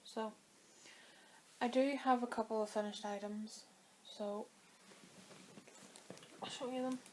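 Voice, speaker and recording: Woman knitting vlogger with a slightly high, flat delivery. Dry throat from a cold. Chatty and meandering. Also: takes it slowly, a little hiss or hum. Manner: chatty and rambling, with many fillers. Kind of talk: casual chat to camera.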